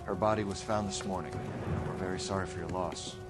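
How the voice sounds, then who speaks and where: An actor playing a formal, fair-minded homicide detective in a video game, clipped and courteous. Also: male voice, low voice, steady tone, fairly quick, over loud music, close to an everyday voice. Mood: grave, polite. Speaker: police detective. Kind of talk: video game cutscene acting.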